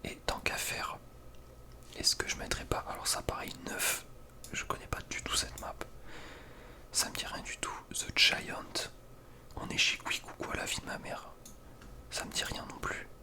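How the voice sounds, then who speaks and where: A young man speaks in a soft breathy whisper, giving casual, slangy ASMR gaming commentary with a hesitant delivery. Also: unhurried, pauses often. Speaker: ASMR video creator.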